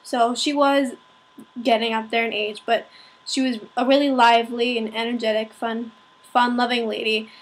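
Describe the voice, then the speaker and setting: A young woman with a fairly high, husky voice, sounding slightly sick. She speaks as a vlogger sharing something personal, in a hesitant, informal and self-conscious way. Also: flat delivery, moderate pace, poor audio. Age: young adult, student. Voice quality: raspy. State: slightly sick with a cold-like rasp. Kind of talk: personal talk to camera.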